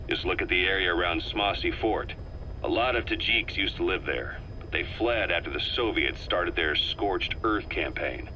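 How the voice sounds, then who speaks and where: Deep, intense military briefer. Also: male voice, pushed and bright, a little hiss or hum, poor audio. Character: grim, seasoned male soldier briefing on a war. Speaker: military briefing narrator in a game. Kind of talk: scripted briefing monologue in a video game cassette tape.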